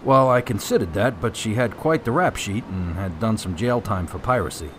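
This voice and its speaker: Guy playing skeptical folksy cop in a radio drama, lowish voice, really swoops around, bit of rumble behind. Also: expressive, normal speed, natural voice. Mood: casual, explaining. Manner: casual, plain-spoken. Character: skeptical, folksy male police officer.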